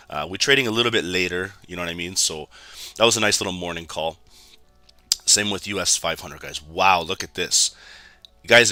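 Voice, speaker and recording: A man from Canada with a raspy, hoarse voice, giving casual live commentary on a trading stream and talking straight to his viewers. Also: sits low, sharp tone, no room sound. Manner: chatty, keeps addressing viewers as guys.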